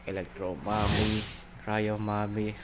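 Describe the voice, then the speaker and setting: A man giving casual gameplay commentary in loose, halting, broken phrases. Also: on the low side, flat delivery, heavy background noise. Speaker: gameplay video host. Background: loud game music.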